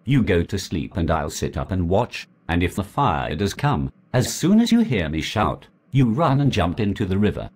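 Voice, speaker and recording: Man doing a cunning male rabbit, deep husky voice, coaxing and sly. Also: huge pitch swings, normal speed, few pauses, darkish tone, full character voice. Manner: coaxing, trickster tone.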